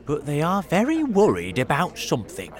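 A man's voice: a little high, huge pitch swings, normal speed, voice pushed up. A man voicing a mischievous, smiling male centipede in a storybook, showy and relishing attention. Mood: puzzled.

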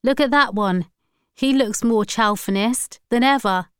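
Woman as confident male activist leader, crisp. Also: a little high, measured pace, dry recording, clean studio sound, voice pushed up, natural voice. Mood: assured.

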